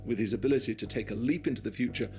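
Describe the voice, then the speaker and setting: A man's voice: medium pitch, quick pace, a little mellow, soft background music. A man giving confident, explanatory commentary in a documentary interview.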